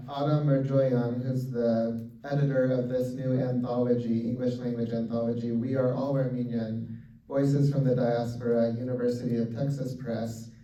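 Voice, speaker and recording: A man's voice: medium pitch, dark tone. Man making event announcements in a hall. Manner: casual, many fillers, upbeat. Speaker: event organizer and host. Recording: echoey hall, distant muffled mic.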